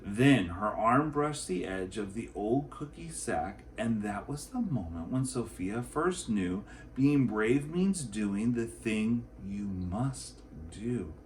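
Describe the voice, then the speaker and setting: Slow, very animated man reading aloud. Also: fairly low pitch, poor audio. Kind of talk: expressive picture book read-aloud for children.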